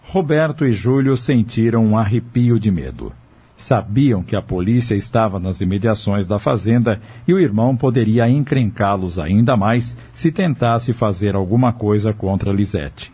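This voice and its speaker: A man's voice: fairly low pitch, normal speed, mellow, slightly rough. A man with a Brazilian accent reading scripted narration for an audio drama. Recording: dull audio with music underneath. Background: music.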